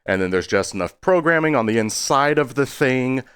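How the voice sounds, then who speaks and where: A man's voice: medium pitch, expressive, moderate pace, a bit bright, clear, resonant tone, no room sound. A man giving a casual, rambling video update in a self-deprecating way, stopping and starting and slipping into exaggerated tones.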